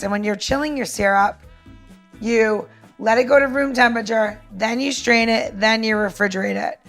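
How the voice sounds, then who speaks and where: A woman's voice: on the high side, a bit bright. A woman co-hosting a podcast, explaining a recipe step by step in an informal, instructive way. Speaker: podcast co-host and cocktail maker.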